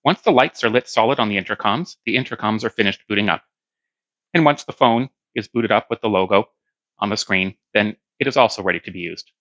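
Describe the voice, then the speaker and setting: A man with a fairly low voice and a crisp, forceful edge gives an instructional product demo. He explains each step plainly and practically. The sound is very dry and a little band-limited. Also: a little fast, no echo, lo-fi sound. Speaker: product demonstrator. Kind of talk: hands-on instructional demonstration.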